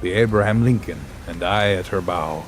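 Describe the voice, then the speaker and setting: A man voicing a grave male scientist who narrates a sea voyage in an audio drama, with measured, dramatic pauses. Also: low-pitched, loud music behind the voice, natural voice. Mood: solemn.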